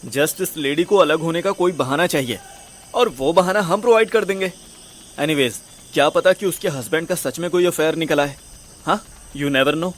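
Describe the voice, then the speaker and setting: Cheeky young sidekick, slightly high voice, man acting. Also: moderate pace, a little hiss or hum, close to an everyday voice. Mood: sweetly persuading. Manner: teasing, slangy, mixes in English words. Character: cheeky, smooth-talking, wisecracking young male sidekick. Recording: music under the voice.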